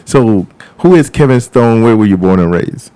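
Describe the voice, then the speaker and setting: A man's voice: on the low side, lively intonation, normal speed, dark tone, clear, resonant tone, no echo. A man hosting a live radio show, interviewing a guest with loose, rambling questions. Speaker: radio talk show host. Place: radio studio.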